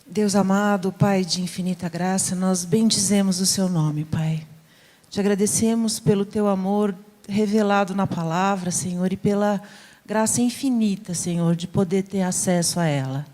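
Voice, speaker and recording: A Brazilian woman leads a spoken prayer in a mid-pitched voice. She is devout and addresses God directly and often. The sound carries the long reverb of a hall. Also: steady tone, moderate pace, dark tone. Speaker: congregant leading prayer. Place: large church room.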